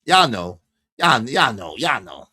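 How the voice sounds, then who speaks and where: Bright, lively man chatting on a stream. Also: medium pitch, slow pace. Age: around 50. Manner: animated, laughing, reacting to chat comments. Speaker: livestream host. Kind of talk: casual livestream chat with viewers.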